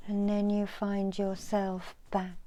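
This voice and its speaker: A middle-aged woman leading an ASMR guided meditation in a soft, breathy, mostly whispered voice with long soothing pauses. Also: mid-range voice, monotone, a little hiss or hum. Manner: slow, hushed, soothing delivery with long pauses. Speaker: reiki healer and guided meditation host. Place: small indoor room.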